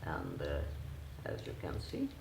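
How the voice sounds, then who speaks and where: Male tutorial host, non-native English speaker, unhurried and halting, gravelly low voice, recorded in an echoey, noisy room. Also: huge pitch swings. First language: not English. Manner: halting, simple step-by-step phrasing. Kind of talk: hands-on instructional demonstration. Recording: echoey room with clear background noise.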